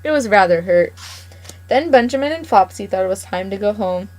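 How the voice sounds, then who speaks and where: A woman reads a children's storybook aloud, gentle and expressive, lingering on the story's moments. She stumbles now and then and calmly corrects herself before moving on. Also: mid-range voice, brisk. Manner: gentle, expressive read-aloud with small slips and self-corrections.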